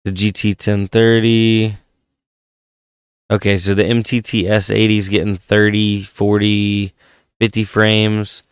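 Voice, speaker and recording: A man's voice: on the low side, completely flat delivery, measured pace, clear, resonant tone, no room sound, studio quality. A man hosting a casual hardware vlog, rambling and thinking aloud, his voice worn from a head cold. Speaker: tech channel host.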